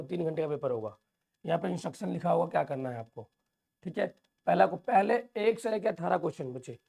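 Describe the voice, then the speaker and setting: A man with a sore, hoarse throat, walking students through an exam paper and calling them children. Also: on the high side, a little mellow. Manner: addresses viewers as children, reassuring. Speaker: teacher. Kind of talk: exam overview lesson.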